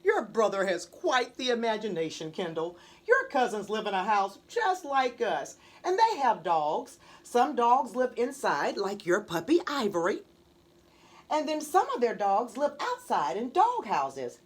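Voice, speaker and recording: A woman voicing a patient, reassuring mother of young children in a storybook, speaking in a measured way. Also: on the high side, sing-song, pitched below the performer's own voice.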